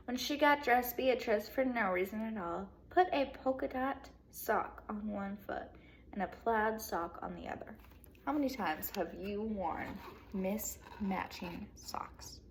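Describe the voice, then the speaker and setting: Expressive woman teacher reading aloud, higher voice. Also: slight background noise, poor audio. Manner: animated storyteller, pauses to put questions to listeners. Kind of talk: expressive children's read-aloud, speaking to young pupils.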